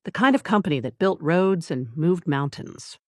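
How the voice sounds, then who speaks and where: This is a story narrator delivering scripted drama in a deliberate manner. Short phrases are set apart with pauses for emphasis. The sound is dry, with no room around the voice. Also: mid-range voice, animated, no echo.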